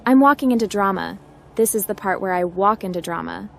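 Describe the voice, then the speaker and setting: A woman's voice: medium pitch, brisk, creaky, natural voice. A woman voicing a confident, wry female hacker adventurer in scripted video game roleplay, with a cool, direct delivery.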